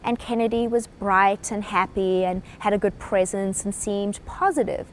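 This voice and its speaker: A woman's voice: medium pitch, fairly even intonation, moderate pace, a little hiss or hum. A woman with a South African accent giving a short, earnest talk explaining a leadership topic. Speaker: presenter.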